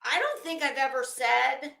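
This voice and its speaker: A woman hosts a casual livestream in a bright, forward voice. She takes her time as she talks, chatty and blunt with her viewers. The room around her adds a clear echo. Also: high-pitched, lively intonation, a little slow. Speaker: livestream host. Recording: echoey room.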